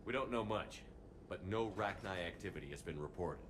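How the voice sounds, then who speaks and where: A man playing a steady, authoritative military commander in a video game, terse and matter-of-fact. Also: low voice, brisk. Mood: businesslike. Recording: noisy game ambience behind voice.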